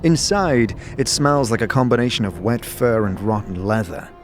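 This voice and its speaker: A man's voice: mid-range voice, animated, normal speed. A man giving dramatic scripted narration for a fantasy audio drama. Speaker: narrator and lead player. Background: music.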